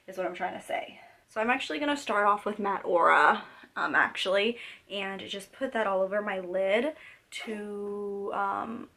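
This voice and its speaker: Hoarse, chatty young woman vlogger. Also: mid-range voice, a bit bright. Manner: chatty, rambling, many fillers and restarts. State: hoarse from a cold. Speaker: beauty vlogger. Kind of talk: casual makeup tutorial chat. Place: home.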